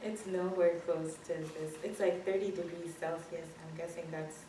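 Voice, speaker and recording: A young woman from Ghana, raised in Zimbabwe, answering interview questions in a relaxed way and laughing easily. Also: on the low side, moderate pace, noisy background. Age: young adult. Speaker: student guest. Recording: echoey room, mic at a distance.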